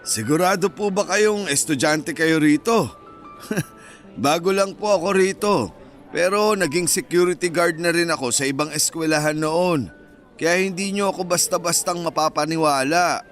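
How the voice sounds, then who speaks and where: An actor in a Filipino radio drama playing a stubborn university security guard who is polite, firm and by the book. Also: male voice, on the high side, normal speed, fairly bright tone, soft background music, close to an everyday voice. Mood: skeptical.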